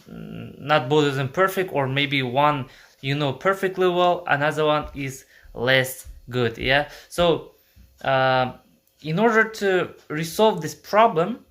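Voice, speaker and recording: A young man from Kazakhstan with a Kazakh accent, talking to camera in a casual monologue, halting and restarting often. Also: fairly high pitch, fairly bright tone. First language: Kazakh and Russian. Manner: halting, many restarts and fillers. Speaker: vlogger.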